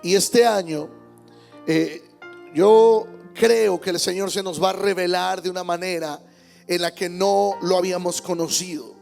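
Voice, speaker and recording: A man's voice: high-pitched, fairly even intonation, a little slow, vocal fry, darkish tone, faint music behind. A male pastor preaching a Sunday sermon in church, emphatic, repeating key words and calling out amens. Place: church service.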